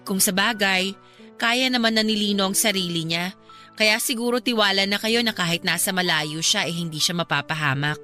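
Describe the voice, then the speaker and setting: A woman's voice: medium pitch, fairly even intonation, normal speed, sharp tone, soft background music, natural voice. An actress with a Filipino accent playing a polite but persistent young woman in a radio drama, deferential yet pointed. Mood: probing, concerned.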